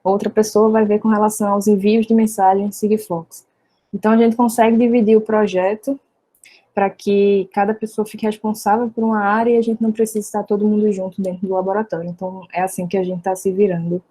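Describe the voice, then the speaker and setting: A woman's voice: mid-range voice, level tone, brisk, warm tone, low-quality recording. A woman explaining her technical work casually and in an orderly, matter-of-fact way as a guest on a panel.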